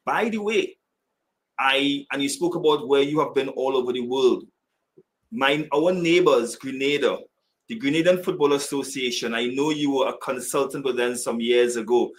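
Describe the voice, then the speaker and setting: A man from Trinidad and Tobago hosting a sports podcast, formal and earnest, slowing down for key words. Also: medium pitch, fairly bright tone. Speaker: podcast host. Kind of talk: podcast interview.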